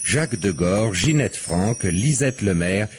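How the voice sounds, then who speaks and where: Slow, deliberate male radio announcer over music. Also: on the low side, expressive. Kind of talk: scripted radio credits announcement.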